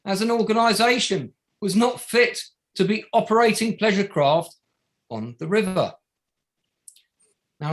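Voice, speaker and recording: An actor playing an indignant barrister in a play reading, making formal submissions to an inquiry in a deliberate, emphatic way with pauses between short phrases. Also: male voice, sits high, pushed and bright, heavily acted. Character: indignant male barrister addressing a public inquiry. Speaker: actor in an online table read.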